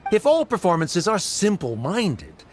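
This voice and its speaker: A man's voice: high voice, huge pitch swings, a little slow, a little hiss or hum, exaggerated voice. A man playing a stern, haughty academy scholar in a video game, lecturing in a formal, pompous way. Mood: condescending, forceful.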